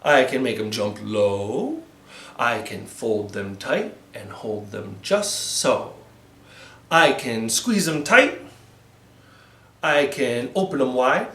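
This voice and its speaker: A man's voice: medium pitch, sing-song, slow, pushed and bright. A man leading a children's storytime, telling stories and encouraging young listeners as he goes. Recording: roomy, echoey sound.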